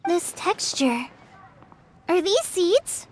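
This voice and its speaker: Shy, high-voiced earnest girl. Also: big pitch swings, very slow, a little mellow, slight background noise, full character voice. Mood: sincere, polite. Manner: earnest, hesitant, polite. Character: shy, earnest, grateful young forest ranger girl. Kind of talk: anime-style video game voice acting.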